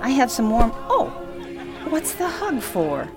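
A woman playing a loving, bustling grandmother in a sentimental radio play, expressive, chatty and affectionately teasing. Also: on the high side, unhurried, music in the background, crowd noise.

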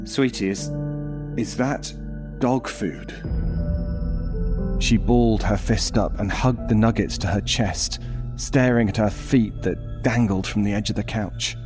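An actor playing an anxious, troubled father who narrates a horror story in an intense, brooding way with dramatic pauses. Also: lowish voice, lightly acted. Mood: uneasy, gentle. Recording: music behind the voice.

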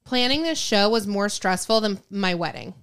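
Smooth, clear-voiced woman podcast co-host. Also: medium pitch, expressive, normal speed, fairly bright tone. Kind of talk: casual chat.

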